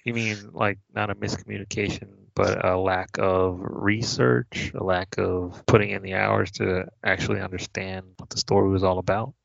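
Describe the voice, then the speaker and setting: A man's voice: low voice, level tone, normal speed, gravelly, few pauses, a bit bright, no echo. A man co-hosting a casual podcast, blunt and sarcastic, swearing offhand. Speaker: podcast co-host. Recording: dry, dull lo-fi mic.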